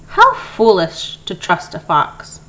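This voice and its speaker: A small but firm male mouse dentist from a picture book, voiced by a woman. He speaks with brisk professional authority and lively, swinging intonation. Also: fairly low pitch, exaggerated voice, poor audio. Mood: wary, muttering. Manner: firm, announcing, sometimes sharp.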